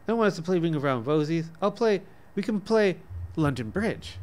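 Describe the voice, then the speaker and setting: A man voicing a lively little girl who pleads in childish grammar in a story. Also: fairly high pitch, brisk, voice pushed up, lightly acted. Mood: pleading, pouting. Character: lively, pleading little girls wanting to play.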